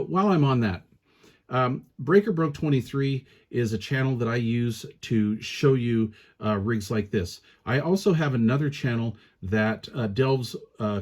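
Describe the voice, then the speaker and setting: A man's voice: mid-range voice, darkish tone. A man talking informally and colloquially as he hosts a casual hands-on product review. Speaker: product reviewer, channel host.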